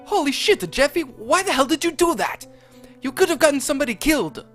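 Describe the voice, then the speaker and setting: A man voicing an excitable chef who shrieks in alarm. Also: very high voice, unhurried, faint music behind, voice pushed up, heavily acted.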